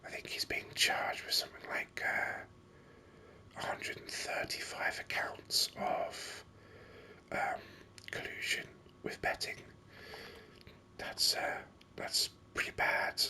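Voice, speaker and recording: A man whispering breathy, unhurried ASMR commentary over a video game, musing aloud close to the microphone. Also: sharp tone.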